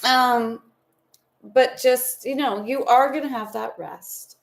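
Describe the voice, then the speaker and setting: A woman's voice: mid-range voice, creaky. A woman giving a live tarot reading from home, talking in a soothing, meandering, spiritual way with affectionate asides. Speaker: tarot reader.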